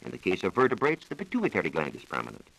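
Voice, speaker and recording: An American man giving a scripted science talk on 1940s radio, explaining in a measured, formal manner. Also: sits low, huge pitch swings, creaky, a bit bright, low-quality recording. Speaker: science reporter explaining research. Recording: old 1940s radio broadcast recording.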